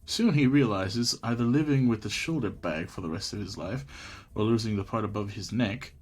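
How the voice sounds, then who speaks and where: A man's voice: lowish voice, moderate pace. A man live streaming a game, chatting casually with some swearing and reading the on-screen text aloud. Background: game music.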